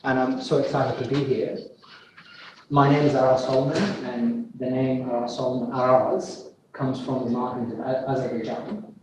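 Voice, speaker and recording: A man's voice: medium pitch, flat delivery, moderate pace. A man from Iraq, giving an academic seminar talk on plant pathology, eager and often repeating words. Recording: echoey room, distant mic, faint noise.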